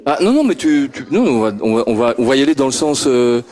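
A man's voice: medium pitch, lively intonation, quick pace, a little mellow. Stammering man interviewing kids. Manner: stammering, repeats words, chuckles often. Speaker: interviewer at a homework-help group. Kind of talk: friendly questioning of children. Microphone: handheld microphone passed around.

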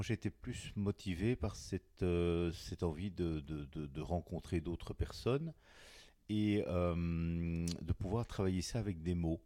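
Deep-voiced man in a dry room, who keeps stumbling over his words while he speaks in a group discussion. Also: dark tone, no room sound. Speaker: writing project participant. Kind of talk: round-table discussion.

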